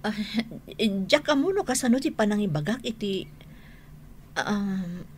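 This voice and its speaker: An actress playing a shy, flustered younger woman who speaks haltingly in an Ilocano radio drama. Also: mid-range voice, expressive, breathy edge. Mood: bashful. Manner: halting, bashful.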